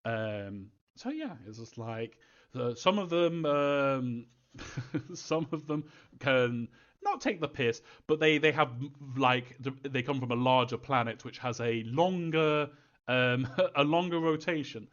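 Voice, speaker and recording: A man answering fan questions in an informal live Q&A, thinking aloud in a halting, rambling way, as a developer. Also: medium pitch, animated, fairly bright tone, muffled recording.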